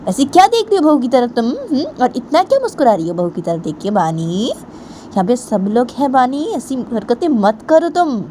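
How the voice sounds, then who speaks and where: A stern middle-aged aunt scolding a young woman in a raised, firm voice, as a woman narrator puts her on. She speaks a little higher than the teller's own voice, with traffic heard behind. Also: sing-song, normal speed, warm tone.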